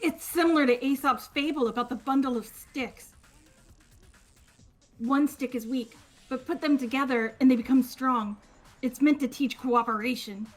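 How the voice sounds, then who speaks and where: A woman's voice: sits high, moderate pace, soft background music. A woman voicing a stammering, haughty, venomous young woman with an obsessive devotion to her master, in a fan-voiced game. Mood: lecturing. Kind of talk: fan-voiced visual novel game acting.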